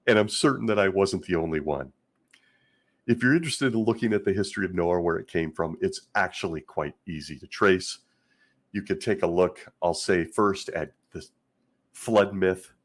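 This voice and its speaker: Scratchy low-voiced sarcastic man. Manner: wry and sarcastic, chuckling often. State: hoarse from illness. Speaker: host. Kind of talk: casual commentary vlog.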